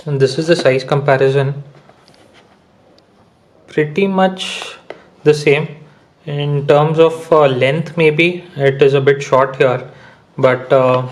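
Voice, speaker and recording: A man's voice: mid-range voice, a little hiss or hum. Casual man explaining gear. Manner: explaining step by step with many filler pauses. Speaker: motorcycle gear reviewer. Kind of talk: casual product unboxing and how-to.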